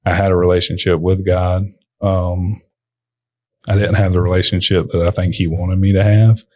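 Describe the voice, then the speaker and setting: Quiet low-voiced young man, halting speech. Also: flat delivery, no echo. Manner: quiet, soft-spoken, halting with fillers. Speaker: company owner, guest. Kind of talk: podcast interview.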